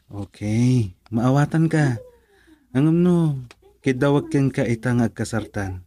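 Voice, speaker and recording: A man's voice: medium pitch, lively intonation, measured pace, mellow, close to an everyday voice. A man with an Ilocano accent playing an earnest, persistent young boyfriend in a romantic radio drama. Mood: earnest, persuading.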